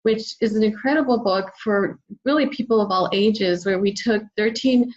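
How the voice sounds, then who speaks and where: A muffled, lo-fi recording carries a middle-aged woman's voice at an average pitch. She is a talkative podcast guest, speaking conversationally and with enthusiasm. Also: normal speed, darkish tone. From United States. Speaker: podcast guest, children's book author. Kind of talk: conversational interview.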